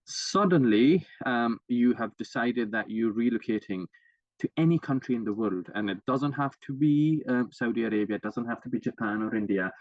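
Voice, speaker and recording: A man's voice: mid-range voice, normal speed, no room sound. Man posing questions over distant, dull audio. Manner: lively, engages audience with rhetorical questions. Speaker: doctor presenting at grand rounds. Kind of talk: interactive teaching talk.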